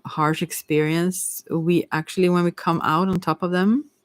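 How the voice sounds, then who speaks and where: A person giving a casual ASMR tarot reading, rambling and musing in a gentle, reassuring and hesitant way. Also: mid-range voice, level tone, moderate pace, no echo. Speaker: tarot card reader.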